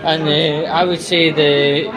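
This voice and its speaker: Slow, higher-pitched Scottish man vlogging. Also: little pitch movement, music in the background. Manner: hesitant, halting, conversational. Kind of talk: informal walking vlog monologue. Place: out and about on a walk.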